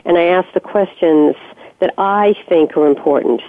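A woman radio host with a somewhat low voice asks questions and answers softly and warmly in agreement. The sound is dry and thin, like a phone line. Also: moderate pace, no echo. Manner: soft-spoken, affirming, lots of murmured agreement. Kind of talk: interview.

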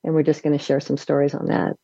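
Flat, mellow-voiced woman advocate. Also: low-pitched, fast, vocal fry, no echo, muffled recording. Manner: brief, plain answers. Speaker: veterans advocate guest. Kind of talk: casual interview answers.

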